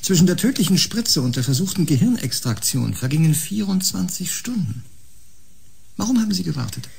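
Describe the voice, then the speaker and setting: A relentless, confrontational interrogator in a science-fiction radio play. His voice is fairly high for a man, very husky and crackling with gravel. He sounds stern and accusing. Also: sing-song, a little fast, exaggerated voice. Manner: sharp, confrontational. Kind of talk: German science-fiction radio drama acting.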